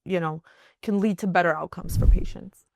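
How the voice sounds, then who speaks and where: A woman with a slightly low voice hosts an interview. She talks in a loose, chatty way, full of casual fillers. The sound is dry and close. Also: steady tone, moderate pace, gravelly, a little mellow, dry recording. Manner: casual, many likes and laughs. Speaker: podcast host.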